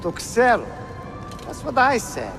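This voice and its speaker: An actor playing an irritable, impatient soldier in a game cutscene, complaining in exasperation. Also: male voice, very high voice, huge pitch swings, a little slow, heavily acted. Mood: exasperated. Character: irritable, impatient male soldier guarding a dig. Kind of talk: dramatic video game cutscene acting. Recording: clear music behind the voice.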